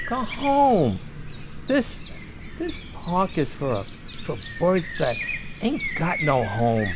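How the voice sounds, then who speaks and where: A man acting a hapless, soft-hearted working-class husband in a radio comedy, with an American accent and a hesitant, stammering, blustery delivery. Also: fairly high pitch, background noise, exaggerated voice. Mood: flustered, glum.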